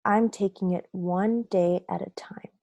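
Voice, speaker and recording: A woman voicing a grumpy, remorseful sunflower seed telling his own story in a children's picture book read-aloud, in a dramatic, deliberate, confessional manner. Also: mid-range voice, fairly even intonation, darkish tone, no echo, dull audio, natural voice. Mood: hopeful, earnest.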